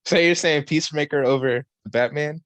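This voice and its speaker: A man co-hosting a podcast in casual banter, slangy and excitable, repeating phrases for emphasis. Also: fairly high pitch, moderate pace, dry recording. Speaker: podcast co-host. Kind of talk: casual banter reviewing a movie.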